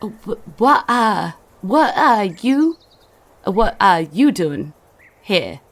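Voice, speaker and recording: A woman voicing a very old, lost and befuddled wandering woman in a tabletop game, halting and stammering as she talks. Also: mid-range voice, sing-song, full character voice. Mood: confused. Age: elderly. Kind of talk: tabletop roleplay character voice.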